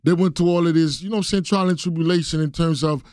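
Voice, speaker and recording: A man's voice: on the high side, steady tone, quick pace, a little mellow, no echo. A middle-aged man born in Haiti, a guest in a radio studio, talking casually with lots of street slang and repeated "you know what I'm saying". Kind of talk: casual interview talk. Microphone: studio mic on boom arm.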